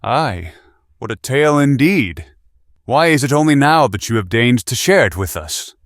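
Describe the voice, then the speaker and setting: An actor playing an eager, inquisitive young knight who speaks in archaic courtly phrases in an audio drama. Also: male voice, medium pitch, normal speed, dry recording, studio quality, lightly acted. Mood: impressed, inquisitive. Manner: animated, archaic courtly speech. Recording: voice over orchestral music.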